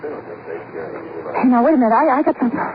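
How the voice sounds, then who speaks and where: An actress playing a slangy, tired, gossipy waitress with an offhand manner in a 1950s radio crime drama. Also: female voice, a little high, wildly swooping pitch, normal speed. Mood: shocked. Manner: offhand, colloquial. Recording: tinny old radio, crowd murmur behind.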